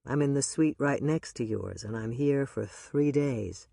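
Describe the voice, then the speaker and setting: A woman voicing a devoted man who speaks low, slow and soft. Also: gravelly, dry recording, clean studio sound. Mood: tender. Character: warm, devoted man, old friend.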